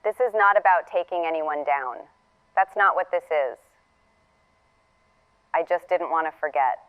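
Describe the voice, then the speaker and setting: An actress playing a weary, regretful but resolute woman in an audio drama, speaking a halting, reflective confession. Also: low-pitched, clean tone, phone-quality sound. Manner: halting, reflective, with sighs and pauses.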